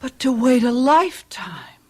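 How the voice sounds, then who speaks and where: A woman's voice: on the high side, very animated, a little slow, husky, heavily acted. An actress playing an anxious, bewildered wife and mother in a radio mystery drama, hesitant and plaintive. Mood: grieving.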